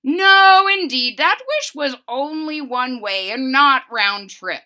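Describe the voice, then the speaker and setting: A woman's voice: sits high, expressive, slow, pushed and bright, voice pushed up, full character voice. A woman reading the lines of a cheerful, adventurous old grandfather in a picture book, in a hearty storytelling manner. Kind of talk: read-aloud storybook voice.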